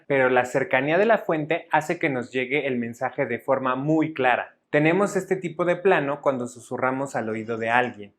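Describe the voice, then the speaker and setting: A man's voice: mid-range voice, fairly bright tone. A man reading a scripted educational narration in a formal, didactic and structured way. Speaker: instructor narrator.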